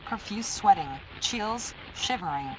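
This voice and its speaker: A synthetic female voice playing a stern, impersonal emergency alert announcer, reading formal, deliberate warnings in an analog horror broadcast. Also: medium pitch, lively intonation, noisy background, close to an everyday voice. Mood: grave, commanding.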